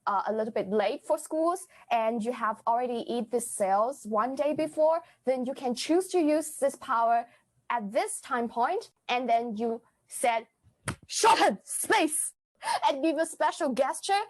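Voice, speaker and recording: A woman's voice: high voice, lively intonation, a little slow, a little mellow. A woman playing an expert in a podcast improv game, explaining things earnestly and carefully. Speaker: podcast guest.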